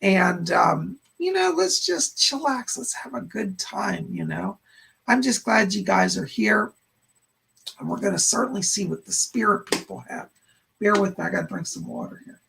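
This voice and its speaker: A man hosting a live stream as a psychic medium, hoarse and raspy and tired, gushing over viewers in casual chat from his home studio. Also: high voice, animated, normal speed, sharp tone, slight background noise. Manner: effusive, gushing greetings with frequent squeals of delight.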